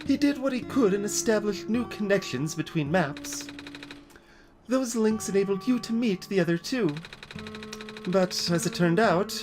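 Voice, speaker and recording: A let's player voicing a weary, grave robot guide from a video game, explaining things in a measured way. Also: mid-range voice, animated, lightly acted. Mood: somber, worried. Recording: music behind the voice.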